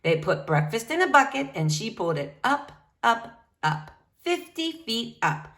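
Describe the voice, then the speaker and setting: A woman reading a picture book aloud to young children in an expressive, animated way. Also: a little high, unhurried. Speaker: storybook reader.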